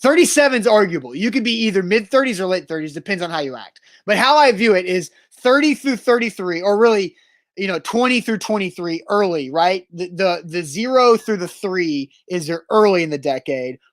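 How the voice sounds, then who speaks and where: A man's voice: high-pitched, animated, a little fast, dry recording. Dry, matter-of-fact male co-host. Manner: matter-of-fact, teasing, pedantic. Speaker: sports radio co-host. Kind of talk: casual sports talk banter.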